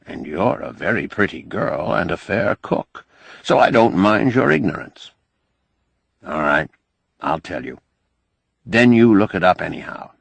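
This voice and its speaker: A man's voice: sits low, lively intonation, a little slow, gravelly, long pauses, breathy edge, no room sound, exaggerated voice. A man voicing a cantankerous, learned older man in an audiobook, lecturing in a wry, pedantic, argumentative way. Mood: sardonic. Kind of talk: audiobook character dialogue.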